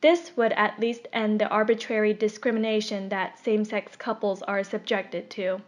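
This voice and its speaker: A woman reading a scripted opinion article aloud in a measured, formal delivery. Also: medium pitch, little pitch movement. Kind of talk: scripted narration. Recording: dull, lo-fi recording.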